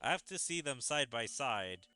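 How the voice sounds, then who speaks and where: A man's voice: mid-range voice, expressive, moderate pace, pushed and bright, faint music behind. A man giving casual game commentary as a streamer, musing and speculating as he goes. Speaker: gaming streamer.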